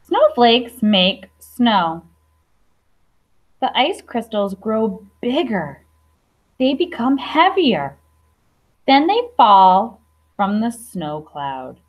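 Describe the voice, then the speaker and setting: High, animated woman reading to children. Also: very slow, pauses often. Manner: animated, enunciated reading with questions to listeners. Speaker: primary school teacher. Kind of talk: read-aloud to young children with chatty asides. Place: indoor classroom.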